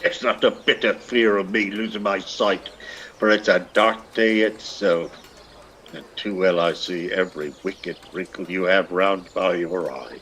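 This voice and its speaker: A man's voice: deep voice, fairly even intonation, a little slow, fairly bright tone, a little hiss or hum. An actor playing a ragged, lazy older peasant man who grumbles and needles in a lilting, theatrical radio play style. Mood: sly grumbling.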